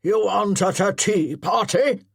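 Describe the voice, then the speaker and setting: A narrator voicing an older retired colonel who barks orders at amateur actors in a loud parade-ground manner. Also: mid-range voice, very animated, a little slow, breathy edge, no echo, clean studio sound, voice pushed up. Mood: commanding. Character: commanding older male colonel, drill-sergeant bark.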